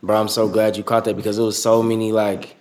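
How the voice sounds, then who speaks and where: A man's voice: lowish voice, little pitch movement, quick pace, a little mellow, a little hiss or hum. A young man in his twenties, a rapper guest talking casually and profanely in an interview, rambling with lots of fillers.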